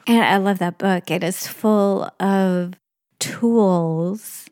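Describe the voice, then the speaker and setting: A woman's voice: medium pitch, extremely slow, a little mellow, smooth, dry recording, studio quality. Woman podcast host asking questions. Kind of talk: podcast interview.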